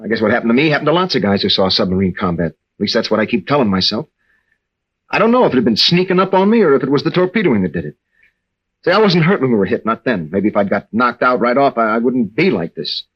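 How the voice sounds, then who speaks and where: Young American husband, ex-navy, troubled and halting; husky voice, dull vintage sound. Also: male voice, medium pitch, expressive. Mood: haunted, confessional. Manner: halting, earnest, with stammered restarts. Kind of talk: 1940s-50s radio drama acting. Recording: dull old-time radio recording.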